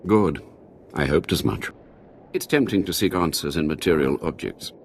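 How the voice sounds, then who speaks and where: An actor playing a devout, shrewd cult scholar in a video game, measured and quietly conspiratorial as he persuades. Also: extremely low pitch, expressive, creaky, slight background noise, full character voice. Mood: persuasive. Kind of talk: video game cutscene acting.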